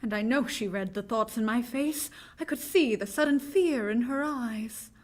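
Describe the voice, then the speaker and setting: A woman's voice: fairly high pitch, moderate pace, natural voice. A woman playing an earnest, frightened young woman in a vintage-style radio drama, speaking with dramatic, anguished deliberation. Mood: haunted, recounting.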